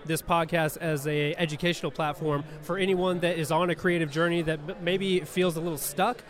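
A laid-back male co-host with a slightly high voice, chatting casually. He keeps calling his guests man. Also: steady tone, fairly quick. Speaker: podcast co-host. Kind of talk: casual interview chat.

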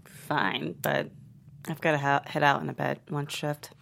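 A woman reads a guarded young woman with a low voice. She speaks softly and holds back. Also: measured pace, creaky, exaggerated voice. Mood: subdued. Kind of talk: table read.

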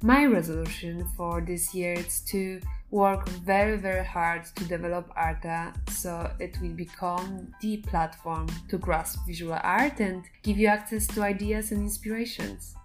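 A woman's voice: fairly low pitch, fairly even intonation, a little slow, hardly any pauses, faint music behind. A woman hosting an art podcast, reading a scripted introduction and then asking questions with some hesitation. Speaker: podcast host. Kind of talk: podcast interview.

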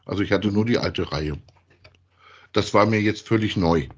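A man's voice: fairly low pitch, moderate pace, husky. A man chatting casually as a podcast host in a colloquial, rambling way, often ending phrases with tag questions. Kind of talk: casual conversational review of audio dramas.

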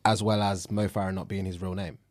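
Low-voiced London man chatting on a podcast. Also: flat delivery, a little fast, no room sound. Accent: British, London. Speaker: podcast co-host. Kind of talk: casual conversational chat.

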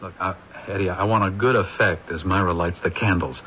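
A harried film director. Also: male voice, sits low, bright, forceful tone. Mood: directing. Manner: brisk, commanding, exasperated. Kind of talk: 1970s radio drama acting. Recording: dull old radio broadcast with faint hiss.

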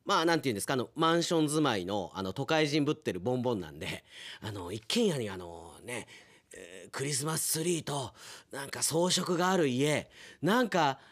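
A man's voice: medium pitch, expressive, a little fast, dry recording. A man hosting a radio show, chatting casually and playfully like an announcer, with conversational asides.

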